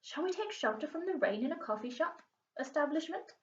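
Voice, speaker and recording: A woman's voice: high-pitched, expressive, brisk, pitched above the performer's own voice. A woman voicing a polite, genteel old homeless man from a storybook, with courteous, formal manners. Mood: genteel, kindly. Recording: muffled, echoey room, distant mic.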